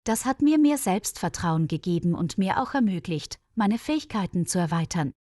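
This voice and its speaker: Woman voicing an earnest, calm male nurse. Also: lowish voice, moderate pace, no room sound, clean studio sound. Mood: earnest, reflective. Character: dedicated, modest, experienced male nurse.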